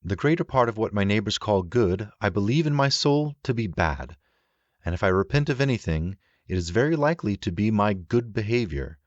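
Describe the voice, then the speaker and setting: A man narrating a literary audiobook in a measured, formal and expressive reading manner. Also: fairly low pitch, dry recording, dull audio.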